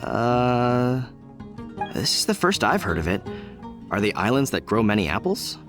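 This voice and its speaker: A man's voice: medium pitch, sing-song, a little slow, music in the background. A man voicing a polite, apologetic city patrol guard in a video game, speaking formally. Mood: puzzled. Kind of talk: video game cutscene voice acting.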